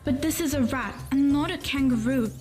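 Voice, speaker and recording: A woman voicing a confident, teasing know-it-all young kid in an animated story. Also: high voice, moderate pace, lightly acted. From Pakistan. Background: background music.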